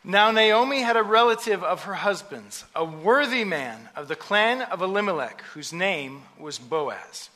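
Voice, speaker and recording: A man preaching a sermon in short phrases, pausing so an interpreter can translate. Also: high-pitched, lively intonation, sharp tone. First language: English. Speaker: pastor. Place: church sanctuary.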